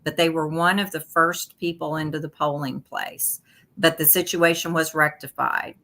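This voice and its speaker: A woman with a low-pitched, bright, forceful voice. She is stuffed up from allergies and explains rules in a steady, methodical way. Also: moderate pace. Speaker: guest. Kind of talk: election talk show discussion.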